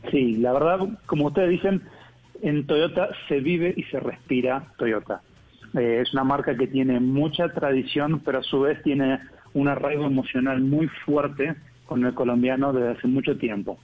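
A man with an Argentine accent, a car company executive speaking as a guest in a radio interview by phone, in measured corporate phrasing with fillers. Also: medium pitch, soft background music. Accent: Argentine Spanish. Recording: tinny phone line.

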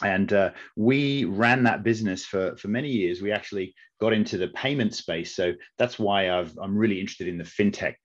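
Deep-voiced man with a faint Australian accent. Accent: Australian, softened by long UK residence. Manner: measured, with frequent restarts and fillers. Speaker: investment network CEO, guest. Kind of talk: podcast interview answers. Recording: slightly dull lo-fi audio.

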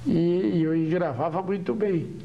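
An older man, a veteran broadcaster, reminiscing about his youth in an archival documentary interview, in a relaxed storytelling way. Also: high voice, a little slow, lo-fi sound. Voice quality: gravelly. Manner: relaxed storytelling with small restarts.

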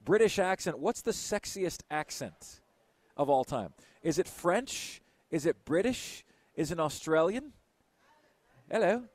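Excitable male radio host, lively pitch. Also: measured pace, no echo. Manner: excitable, laughs loudly, breaks into silly voices. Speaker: radio sports show host. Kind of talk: casual radio banter.

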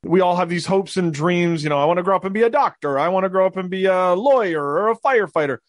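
Higher-voiced man hosting an interview. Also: expressive, fast talker, dry recording, studio quality. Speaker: interview host. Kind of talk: conversational interviewing.

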